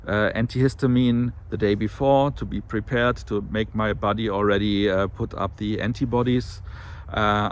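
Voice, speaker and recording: A man talking casually to camera in a weight loss vlog, slightly nasal from an allergy and rambling with many fillers and self-corrections. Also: mid-range voice. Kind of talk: casual monthly vlog talk.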